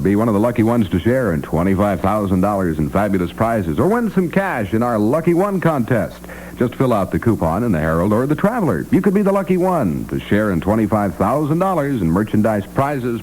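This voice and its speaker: Animated 1960s male radio announcer. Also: on the low side, a little hiss or hum, low-quality recording. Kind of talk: 1960s radio presenting and ad reads. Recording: vintage radio, faint music.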